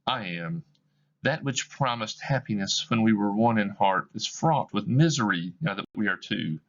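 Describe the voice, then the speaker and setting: A man's voice: on the low side, lively intonation, moderate pace, fairly bright tone, dull audio, voice pushed up. A man voicing a grieving young woman who speaks to her former love with bitterness and reproach. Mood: reproachful.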